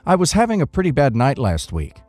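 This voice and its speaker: A man narrating first-person online stories from a script in an expressive reading manner. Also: mid-range voice, fairly quick, no room sound, faint music behind.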